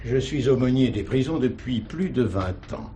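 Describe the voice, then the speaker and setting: An actor playing a courteous, middle-aged prison chaplain in a radio drama, speaking formally and earnestly. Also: male voice, medium pitch, animated, moderate pace, warm tone, a little hiss or hum, close to an everyday voice. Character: earnest prison chaplain, a devout pleading man. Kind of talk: 1970s French radio drama acting.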